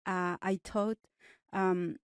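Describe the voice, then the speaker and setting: A woman's voice: lowish voice, level tone, extremely slow, slight background noise. A woman from Peru with a Peruvian Spanish accent and Quechua as her first language, speaking carefully as a podcast guest. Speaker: guest scholar. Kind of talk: podcast interview.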